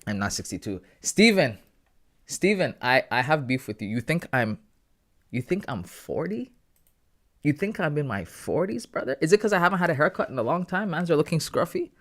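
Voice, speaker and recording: A man's voice: on the high side, very animated, normal speed, a bit bright. A man in his thirties chatting casually with viewers on a live trading stream, slangy and laughing often. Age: 30s. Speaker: livestreaming forex trader.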